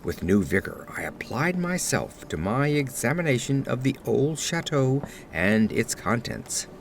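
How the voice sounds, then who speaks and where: A man narrates a gothic horror tale with a widely moving, expressive pitch. His delivery is solemn and deliberate, in an old-fashioned literary manner. Faint atmospheric ambience sits behind his voice. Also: creaky, a little hiss or hum. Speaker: audio drama narrator. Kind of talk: dramatic scripted narration of gothic horror story.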